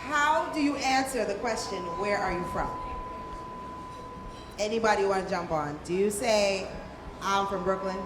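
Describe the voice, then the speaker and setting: Female panel moderator, mid-pitched, informal and teasing, with clear noise around her. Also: moderate pace, long pauses. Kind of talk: casual, joking panel moderation. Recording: live event, clear background noise.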